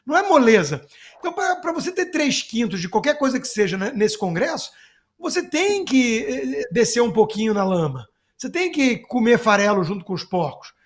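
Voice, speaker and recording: High-pitched Brazilian man, sarcastic commentator. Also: very animated, normal speed. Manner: sarcastic, emphatic, colloquial. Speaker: political commentator. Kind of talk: opinionated political commentary, spoken off the cuff. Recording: music playing behind the voice. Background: music.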